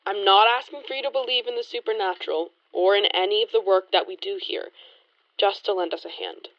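A woman's voice: medium pitch, fairly quick, no echo, dull audio, lightly acted. A woman playing a determined, suspicious 1920s private investigator, pointed and articulate, in a period audio drama.

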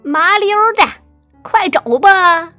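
A woman's voice: very high voice, slow, sharp tone, dry recording, full character voice. A woman playing a feisty, teasing old grandmother in a radio drama, blunt and folksy, with a Northeastern Chinese accent. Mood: teasing, bossy. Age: older. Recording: music under the voice.

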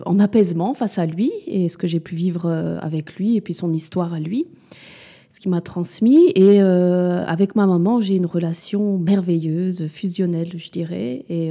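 Hesitant, reflective woman in her forties with a mellow voice. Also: fairly low pitch, gravelly, slightly rough. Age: 40s. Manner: hesitant and reflective, many fillers and restarts. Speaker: podcast guest, mother and business owner. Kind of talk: candid interview conversation.

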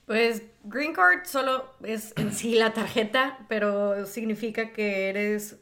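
A woman's voice: fairly high pitch, slow, fairly bright tone. A woman from northern Mexico with a northern Mexican accent, co-hosting a podcast in a plain, matter-of-fact way. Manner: matter-of-fact, corrects firmly. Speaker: podcast co-host. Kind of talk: casual chat.

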